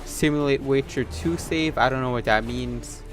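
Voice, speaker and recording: A man giving casual let's play commentary as a gaming video host, rambling and thinking out loud. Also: medium pitch, moderate pace, music in the background.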